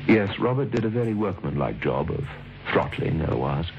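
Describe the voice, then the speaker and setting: A man with a low voice tells a story as narrator of a vintage radio drama. He is formal, measured and dryly understated. Music plays clearly behind him on a dull old recording. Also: very animated.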